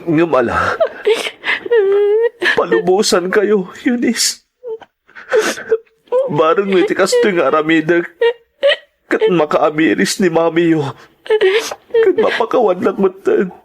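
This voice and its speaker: A man's voice: sits high, very animated, slow pace, long pauses, heavily acted. A man with an Ilocano accent playing an aggrieved, protective father, pleading emotionally in a radio drama. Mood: hurt, tearful.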